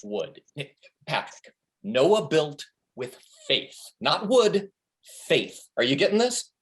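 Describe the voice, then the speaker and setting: A man acts out a cocky tour manager in a stage comedy reading. His fairly high voice swings widely in pitch. He is crude and bossy, handing down advice like a self-styled expert. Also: big pitch swings, slow pace. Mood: cocky, mock-wise. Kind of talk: comedy play table reading. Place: remote reading over a video call.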